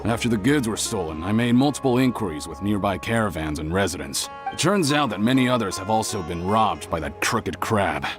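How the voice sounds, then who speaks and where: An actor playing an earnest caravan investigator in a game, reporting findings. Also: male voice, fairly low pitch, expressive, a little fast, hardly any pauses, natural voice. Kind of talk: game voice acting. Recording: game audio over music.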